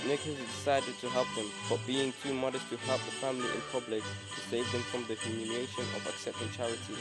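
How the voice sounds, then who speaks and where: Flat male narrator over music. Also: mid-range voice, low-quality recording. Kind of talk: read documentary narration.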